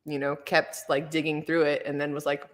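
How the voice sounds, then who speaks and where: A woman with a low voice talks in a loose, rambling way, packing her sentences with "like" and "you know." Her voice trails in a long reverb, as if she were in a big echoing space. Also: flat delivery, fairly quick. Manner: rambling, filler-heavy, laughs often. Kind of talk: casual chat.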